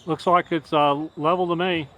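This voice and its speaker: A man's voice: fairly high pitch, fairly even intonation, moderate pace, fairly bright tone. A man in his sixties chatting casually in brief, dry, wry remarks. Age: 60s. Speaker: father helping as camera operator. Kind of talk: casual chat. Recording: noisy on-location audio from behind camera.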